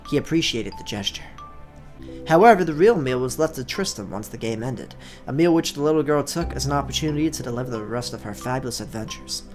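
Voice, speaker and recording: A mid-pitched voice belonging to a let's play host, with music clearly playing underneath. The delivery is that of an expressive storyteller sharing a visual novel with viewers. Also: quick pace. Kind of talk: expressive story narration, read aloud. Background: background music.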